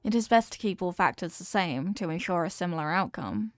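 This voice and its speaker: Girl doing an evil yandere queen for audio roleplay, formal n measured, obsessed, quietly threatening, dry close sound. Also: lowish voice, animated, dry recording, natural voice. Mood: solemn devotion. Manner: formal, measured, imperious, veiled menace. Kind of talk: scripted roleplay monologue, ASMR audio drama.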